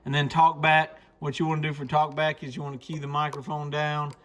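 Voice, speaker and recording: A man's voice: a little high, monotone, a little fast, a bit bright. A man giving a casual, unscripted product walkthrough in an informal, explanatory way as host, recorded in a shop. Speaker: radio shop host demonstrating a CB radio. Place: radio shop. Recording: clear background noise with radio clicks.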